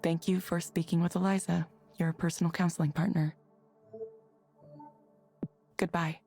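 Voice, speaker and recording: An actress playing a calm, reserved woman in a visual novel, speaking in a measured, careful, gentle way. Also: on the low side, gravelly, faint music behind. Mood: calm, scripted. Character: calm, reserved woman reading for a counseling program. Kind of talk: naturalistic visual novel voice acting.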